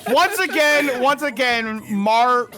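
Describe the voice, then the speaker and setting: A woman's voice: high-pitched, slow pace, fairly bright tone. A woman commentator in a casual group chat about a fan dub, blunt in her way of talking.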